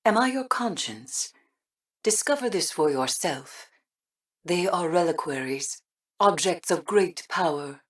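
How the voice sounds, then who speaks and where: An actress voicing an aloof, cryptic ancient ship mind in a video game, speaking in a hushed way. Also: female voice, on the low side, expressive, unhurried, distant mic.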